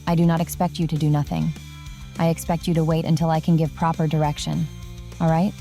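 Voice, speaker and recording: A woman playing a calm, firm officer in charge, deliberate and authoritative in an audio drama. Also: sits low, faint music behind.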